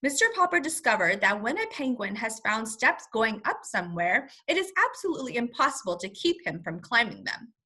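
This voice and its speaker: A woman's voice: fairly high pitch, sing-song, brisk, hardly any pauses, bright, forceful tone, husky. A woman teacher reading a story aloud to young children, expressively, with simple word explanations. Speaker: first grade teacher.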